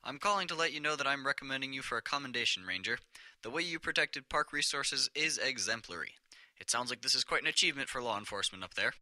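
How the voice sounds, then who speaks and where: An officious, stern male bureaucrat boss played by a man in an audio drama. His voice is very sharp and forceful, and he talks fast and curtly in a dry, close sound with cold condescension. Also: medium pitch, expressive, no room sound. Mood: smooth, officious.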